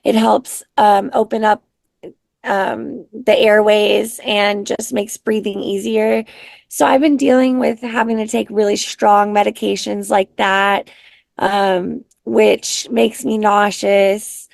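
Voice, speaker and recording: A raspy-voiced woman, a singer-songwriter guest, talking in an unhurried, soft-spoken way with many pauses. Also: medium pitch, little pitch movement, no echo. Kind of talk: casual interview chat.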